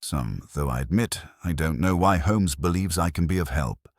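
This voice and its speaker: Man acting weary, deep-voiced reluctant witness. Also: expressive, normal speed, no room sound, clean studio sound, heavily acted. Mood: weary reluctance. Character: weary, burdened, reluctant Victorian male witness.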